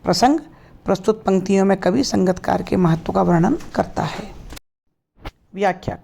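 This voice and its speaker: A woman teacher reading out a formal, measured explanation of a poem. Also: mid-range voice, creaky, warm tone.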